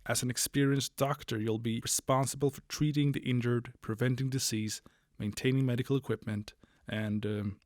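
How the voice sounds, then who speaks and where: Army major, male actor, mid-pitched: officious, petty, awkwardly hesitant; audio drama, close dry recording. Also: steady tone, moderate pace, a little mellow, dry recording, close to an everyday voice. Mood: brisk, awkward. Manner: hesitant, clipped, bureaucratic. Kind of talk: post-apocalyptic audio drama acting.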